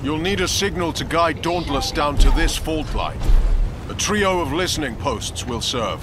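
An actor playing a stern, noble, battle-hardened space commander in a video game, formal and resolute. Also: male voice, fairly high pitch, expressive, unhurried, background noise. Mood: grim command. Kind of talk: dramatic video game cutscene acting.

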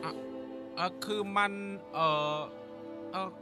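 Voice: mid-range voice, fairly even intonation, slow, close to an everyday voice. A voice actor dubbing a small, timid furry cartoon creature that panics and stammers. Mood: hesitant. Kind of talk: Thai cartoon dub. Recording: dub with loud music behind.

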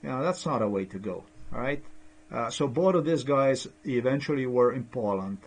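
A man's voice: mid-range voice, lively intonation, moderate pace, breathy edge. A middle-aged man, not a native English speaker, rambling hesitantly with many fillers and restarts, recorded on a desk webcam in a small room. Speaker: vlogger. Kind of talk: rambling screen-share commentary.

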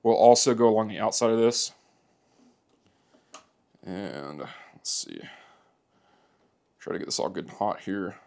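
A man's voice: lowish voice, lively intonation, measured pace, creaky, long pauses, a little mellow. A man in a workshop explaining a plastic welding repair in an easygoing, plainspoken way as he works. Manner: easygoing, plainspoken, talks while working. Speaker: motorcycle repair demonstrator. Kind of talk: hands-on how-to narration. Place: indoor workshop.

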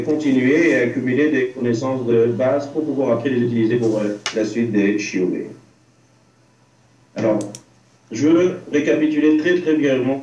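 A man's voice: mid-range voice, dark tone. A man, a rabbi giving an informal online religion lesson, hesitant, repeating himself and checking he is understood. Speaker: rabbi teaching a religious class. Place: remote video call. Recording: dull, roomy, distant online-call sound.

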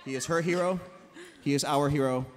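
A man's voice: fairly high pitch, a little fast. A man narrating a live table read and introducing a cast in a theatrical, grandiose manner. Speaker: series writer reading stage directions and hosting. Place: large convention hall panel stage. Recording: loud crowd noise in convention hall.

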